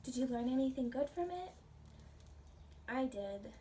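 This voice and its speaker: A woman reading a picture book aloud to children and chatting about it in a gentle, expressive, questioning way. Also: sits high, a little slow. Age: adult. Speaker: children's storybook reader, teacher. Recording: distant mic with faint background noise.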